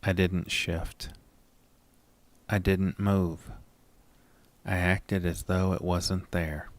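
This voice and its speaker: A man playing an anxious, haunted narrator in a first-person horror audio drama, deliberate and suspenseful. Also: low-pitched, little pitch movement, dry recording. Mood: tense dread.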